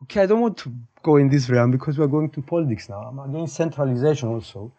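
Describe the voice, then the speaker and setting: A man's voice: medium pitch, expressive, fast, mellow, highs cut off. A man in his fifties with a Cypriot accent talking casually as an interview guest, opinionated and digressive, often repeating and rephrasing his points. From Cyprus. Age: 50s. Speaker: podcast guest, high school physics teacher.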